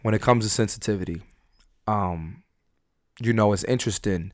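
Animated, stammering man hosting a podcast. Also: lowish voice, normal speed, no echo, clean studio sound. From Philadelphia. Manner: animated, stammering restarts, swears freely. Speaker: podcast host. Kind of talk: casual podcast chat.

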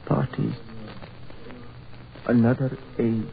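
An actor playing a grim, fatalistic injured man with solemn, deliberate, formal speech in a 1940s radio horror drama. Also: mid-range voice, level tone, gravelly. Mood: grim, brooding. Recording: tinny, noisy 1940s radio recording.

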